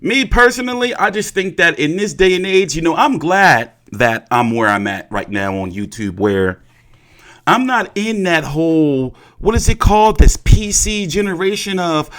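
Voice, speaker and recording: A man's voice: on the high side, lively intonation, moderate pace, fairly bright tone. A man hosting a boxing livestream in a casual, blunt and profane ranting style, often repeating his phrases.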